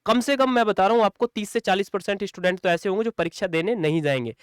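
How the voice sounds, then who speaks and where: A man's voice: high voice, fast, clean tone, dry recording, clean studio sound. A man giving an informal exam-coaching lecture, repeating points for emphasis and addressing students as brothers and friends. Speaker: online exam-coaching teacher.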